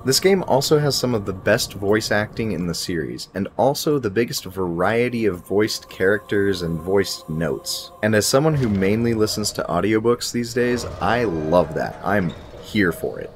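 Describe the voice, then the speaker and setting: A man's voice: on the low side, animated, moderate pace, hardly any pauses, a little hiss or hum. A young man presenting a scripted video game review in an articulate, presentational way. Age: young adult. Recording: music behind the voice. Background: background music.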